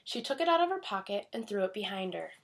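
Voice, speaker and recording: A woman narrates a children's tale from a book. Her delivery is expressive read-aloud storytelling, at a middle pitch. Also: quick pace, vocal fry. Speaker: storybook reader.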